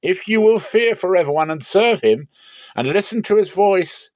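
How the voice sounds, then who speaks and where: A man's voice: high-pitched, expressive, moderate pace, a little mellow, no echo, pitched above the performer's own voice. Stern prophet, played by a male reader, declamatory and impassioned, exhorting a people, scripture drama, dry sound.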